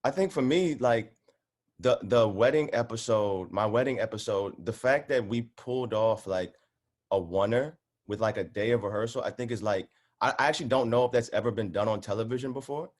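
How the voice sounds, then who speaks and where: Man, lowish voice, casual and rambling, emphatic, lots of "like", on a video call. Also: a little fast, a little mellow. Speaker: cast member on a reunion panel. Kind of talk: casual chat.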